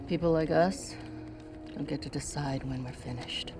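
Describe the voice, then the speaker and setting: Low-voiced, cool female assassin played by a woman. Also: steady tone, moderate pace, loud music behind the voice, lightly acted. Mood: cool, controlled. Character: cool, calculating female assassin, terse and tactical. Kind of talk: science fiction screen drama.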